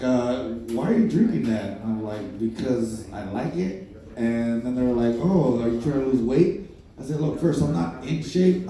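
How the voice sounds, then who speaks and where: A man's voice: medium pitch, dark tone. Puerto Rican-accented man, roomy and distant. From Puerto Rico. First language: Spanish. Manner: rambling, self-deprecating, improvised storytelling. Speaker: stand-up comedian. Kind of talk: open-mic stand-up set. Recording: distant, roomy live recording.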